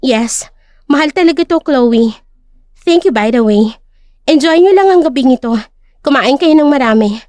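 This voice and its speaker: A woman voicing a calm, self-assured, wealthy woman hotel owner speaking formally in an audio drama. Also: sits high, normal speed, long pauses, dry recording. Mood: confident, gracious. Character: confident wealthy young woman hotel owner giving a poised speech. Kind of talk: audio drama character speech.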